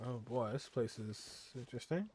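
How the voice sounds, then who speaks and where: A man's voice: medium pitch, animated, moderate pace, a little mellow, a little hiss or hum. A young man giving casual gameplay commentary as a streamer, with short exclamations and muttered asides. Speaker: gaming streamer. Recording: faint game music behind the voice. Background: video game music.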